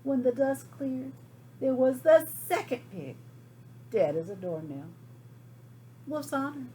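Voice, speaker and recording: A woman gives voice to a folksy storybook wolf who pleads innocence in a high, slow-paced delivery. The telling is chatty and dramatic, made for children, with a light hum of noise in the recording. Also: lively intonation, warm tone. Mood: sly, matter-of-fact. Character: folksy, self-excusing wolf telling his side. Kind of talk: storybook read aloud to children.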